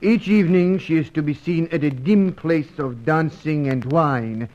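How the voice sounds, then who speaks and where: A man's voice: on the high side, measured pace, close to an everyday voice. An actor playing an eager, deferential Latin American male informant in a radio serial, with courteous, quaintly ordered phrasing. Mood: eager, respectful. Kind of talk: old radio serial acting. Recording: dull lo-fi old radio recording.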